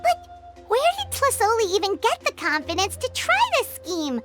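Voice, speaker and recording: A woman voicing a tiny, excitable, childlike fairy companion in a video game, with a chirpy, earnest, squeaky delivery. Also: moderate pace. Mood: curious, chatty. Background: music.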